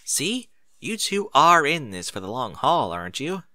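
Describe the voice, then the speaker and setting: A man's voice: medium pitch, big pitch swings, unhurried, pushed and bright, dry recording, clean studio sound, voice pushed up. A man voicing a playful, lilting storybook mare. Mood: teasing. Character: chatty, teasing adult mare, playfully impatient.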